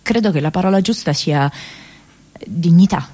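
A woman playing an earnest young activist in a radio play, speaking a letter home to her mother haltingly, searching for words. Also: fairly low pitch, dark tone, natural voice. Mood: anguished wonder. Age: young adult. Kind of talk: dramatic monologue in radio theatre, reading a diary letter.

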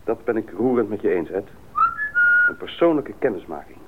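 A man's voice: on the low side, sing-song, moderate pace. A man in a radio play playing a thoughtful, knowledgeable expedition member, explaining earnestly with some hesitation. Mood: earnest, reflective. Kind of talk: Dutch radio play acting. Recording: tinny old radio recording, faint noise.

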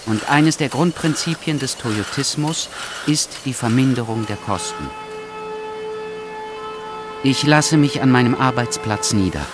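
A man acting as a meticulous car-factory worker who narrates a scripted audio drama in a precise, deliberate manner. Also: mid-range voice, animated, noisy background, close to an everyday voice. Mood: methodical, absorbed.

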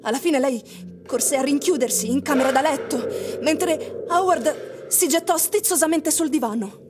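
An actress delivering scripted dramatic narration of a tense literary story for a radio play. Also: female voice, high-pitched, normal speed, background noise, natural voice. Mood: intense, urgent. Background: music.

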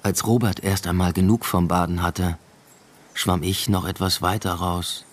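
An actor playing a brooding husband and father, narrating a literary radio drama in a measured, introspective way. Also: deep voice, level tone. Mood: reflective.

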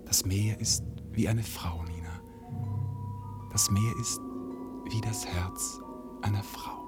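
Solemn, low-voiced young lover. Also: male voice, fairly even intonation, slow pace, over loud music. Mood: brooding, hushed. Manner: solemn, lyrical, declamatory. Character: ardent young male lover and warrior, poetic, brave. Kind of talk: German literary radio play acting.

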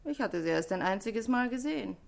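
An actress playing a brisk, testy, chatty dress-shop owner, speaking brusquely and colloquially in a German radio crime play. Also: female voice, fairly high pitch, expressive, gravelly. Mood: explanatory.

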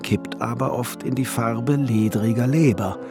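A radio drama performer reciting scripted instructions in a measured, instructive manner. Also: medium pitch, over music, lightly acted.

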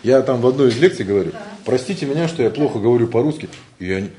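A man's voice: medium pitch, expressive, rapid-fire, dark tone. A man from Siberia with a Siberian Russian accent, giving an informal spiritual lecture in a joking, rambling way and laughing often.

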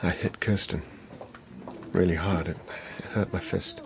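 An actor playing an earnest, troubled crash survivor in a radio drama. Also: male voice, sits low, steady tone, a little slow, creaky, noisy background. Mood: weak, remorseful. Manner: urgent, incredulous, pleading. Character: frightened, pleading man, earnest crash survivor. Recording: music under the voice.

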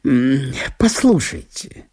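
Radio play: male actor as wheedling, dignified provincial gentleman, mellow but husky, very animated intonation. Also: mid-range voice, takes it slowly. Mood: persuasive, coaxing. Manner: ornate, courteous, persuasive. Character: smooth-talking, wheedling, dignified small-town gentleman, Ivan Ivanovich. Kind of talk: radio literary solo performance.

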